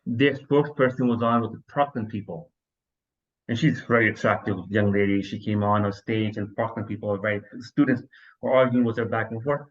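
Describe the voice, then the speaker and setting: A man's voice: on the low side, steady tone, a little fast. A man hosts a casual podcast chat. He rambles and often restarts his sentences mid-thought, and his voice comes through slightly dull and muffled. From New York, US. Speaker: podcast host.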